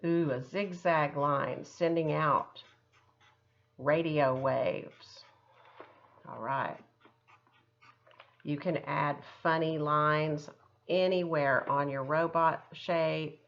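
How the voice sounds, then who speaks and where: A woman's voice: low-pitched, steady tone, very slow, vocal fry, long pauses, muffled recording. A woman art teacher talking children through a craft step by step in a chatty, encouraging, casual way. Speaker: art teacher giving a demonstration.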